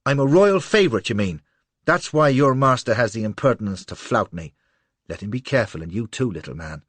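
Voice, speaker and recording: A man voicing an imperious, beautiful woman with a rich, husky voice, commanding and scornful. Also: low voice, expressive, moderate pace, dry recording. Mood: contemptuous. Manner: commanding, contemptuous.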